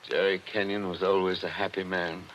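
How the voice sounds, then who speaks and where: An actor playing a brooding attorney who narrates wistfully in a 1940s radio mystery. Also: male voice, level tone, a little fast, a bit bright, a little hiss or hum. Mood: reflective. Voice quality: low, reflective, wistful. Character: brooding middle-aged male attorney narrator. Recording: dull old radio recording with music.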